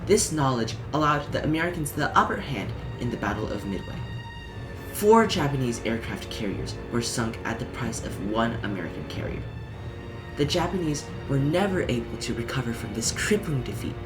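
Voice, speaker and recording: A man's voice: medium pitch, low-quality recording. A man reading a scripted educational narration in short, emphatic phrases. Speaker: audiobook narrator.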